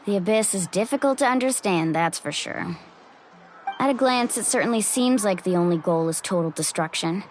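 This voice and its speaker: A woman's voice: mid-range voice, animated, moderate pace, faint music behind, lightly acted. A woman playing a friendly, easygoing young guide in a video game, with a breezy, casual and reassuring manner. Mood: explaining, reassuring. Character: Mualani, friendly young female water-tribe guide, easygoing and upbeat. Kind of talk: video game character acting.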